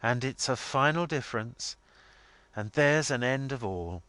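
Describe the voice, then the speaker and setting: A man voicing an affectionate young woman in a storybook, speaking plainly and earnestly with gentle firmness. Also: medium pitch, lively intonation, measured pace, no echo. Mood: earnest resolve. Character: affectionate, frank young woman, daughter of the family.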